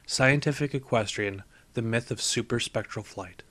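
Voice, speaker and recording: A man's voice: medium pitch, moderate pace, fairly bright tone. A man reading a story aloud as scripted narration. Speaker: story reader.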